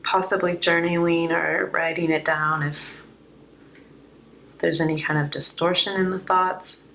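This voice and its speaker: Low-voiced woman, slightly hoarse tarot reader. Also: level tone, unhurried, a bit bright, a little hiss or hum, poor audio. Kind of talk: unscripted spiritual card reading, reflective.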